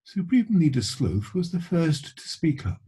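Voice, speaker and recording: A man reading a children's story aloud in an expressive storytelling style. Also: fairly high pitch, unhurried, slightly rough. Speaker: story reader.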